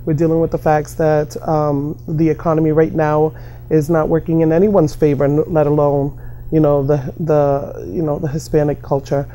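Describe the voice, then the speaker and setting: A middle-aged American woman answering informally in an interview, halting and often repeating her phrases. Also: low-pitched, little pitch movement, mellow, low-quality recording. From New York and Massachusetts, United States. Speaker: interviewee, community worker.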